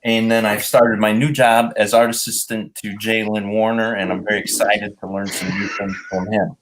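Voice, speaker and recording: Low male guest, halting, playing it straight for a joke. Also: level tone, a little hiss or hum, rough recording. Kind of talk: joking live-stream chat.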